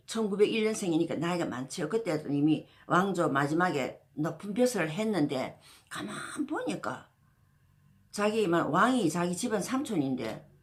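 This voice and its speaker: A woman, casually telling a history story as a channel host, rambling with many fillers and restarts. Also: fairly low pitch. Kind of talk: casual spoken history talk.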